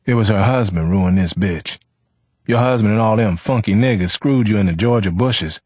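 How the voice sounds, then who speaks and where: A husky-voiced man playing a hot-tempered young man who taunts with coarse street talk in a storybook reading. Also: mid-range voice, lively intonation, moderate pace, darkish tone, dry recording, voice pushed up. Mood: cruel.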